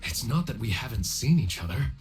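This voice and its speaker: An actor playing a steady, protective young man in a video game, earnest and plain-spoken. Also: medium pitch, a little fast, close to an everyday voice. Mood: serious, concerned. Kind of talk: English video game dub acting. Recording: game music behind the voice.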